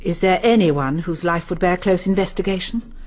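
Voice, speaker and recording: A woman's voice: lowish voice, moderate pace, muffled recording, close to an everyday voice. An actress playing a genteel, guarded English lady of a country house in a radio drama, polite and composed. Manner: polite, composed, well-bred.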